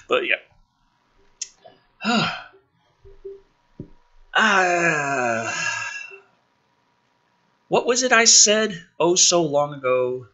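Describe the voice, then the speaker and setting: A man with a forceful, husky voice does dramatic script reading and casual commentary. His pitch swings widely as he gets exasperated. He is sarcastic and swears freely, with groaning asides. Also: highs cut off. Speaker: host of a gaming and film commentary channel.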